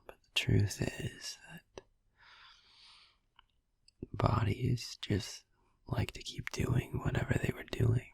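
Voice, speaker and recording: A man playing a soothing vampire boyfriend in an ASMR sleep-aid roleplay, musing gently in a hushed, breathy whisper close to the microphone. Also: on the low side, flat delivery, no echo, heavily acted. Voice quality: breathy whisper with gravelly creak. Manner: slow, hushed, gently musing with long pauses. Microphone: close microphone, dry.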